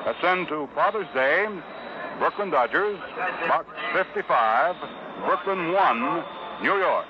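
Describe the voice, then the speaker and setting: An American man in a folksy, salesmanlike 1950s radio style, announcing as co-host of a baseball broadcast. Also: a little high. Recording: noisy muffled 1950s radio broadcast recording.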